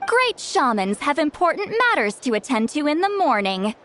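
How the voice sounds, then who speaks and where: High, bouncy-voiced ancient shaman, sarcastic, teasing, game cutscene. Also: sing-song, moderate pace, fairly bright tone, a little hiss or hum. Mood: sardonic. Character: sharp-tongued centuries-old female shaman, haughty and lazy.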